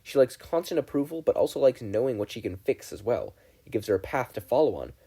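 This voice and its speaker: A man reading a story aloud as a narrator, in an expressive scripted style. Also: medium pitch, quick pace, breathy edge. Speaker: fan fiction podfic reader.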